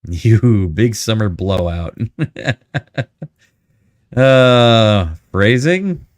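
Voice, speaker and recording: A man's voice: fairly low pitch, animated, extremely slow, dry recording, studio quality. A man hosting a live stream with casual, joking commentary and asides to his chat.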